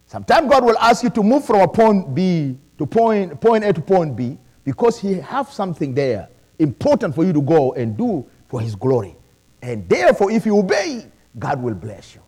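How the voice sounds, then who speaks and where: Middle-aged preacher guy, english not his first language, voice jumps all over, kinda high. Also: normal speed. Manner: animated preaching with calls of praise. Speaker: pastor. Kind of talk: sermon.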